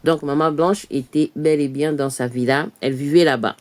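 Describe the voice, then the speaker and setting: A woman's voice: low-pitched, steady tone, moderate pace, darkish tone. Woman, live outdoor presenter; emphatic, rhetorical, filler-heavy. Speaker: online news presenter. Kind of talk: live commentary to camera. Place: outdoors in front of a church.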